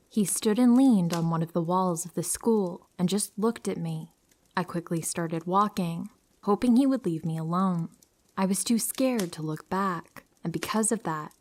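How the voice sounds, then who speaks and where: A woman calmly reading true horror stories aloud as a narrator, in an even storytelling manner. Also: lowish voice.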